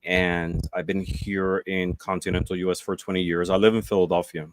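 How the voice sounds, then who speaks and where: A man's voice: low-pitched, flat delivery, fairly quick, dry recording. A man, a guest telling his story in a casual interview, earnest and full of fillers and restarts. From Puerto Rico.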